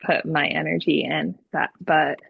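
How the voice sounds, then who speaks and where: Woman chatting on a group call, lots of vocal fry, a bit dull sounding audio, dry. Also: low-pitched, little pitch movement, unhurried, dry recording. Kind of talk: casual conversational question and reply.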